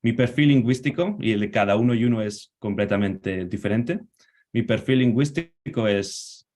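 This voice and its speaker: Low-voiced Spanish-born man, halting. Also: darkish tone. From Spain. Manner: measured, halting, self-correcting. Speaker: guest. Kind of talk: conversational interview answers. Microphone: video call.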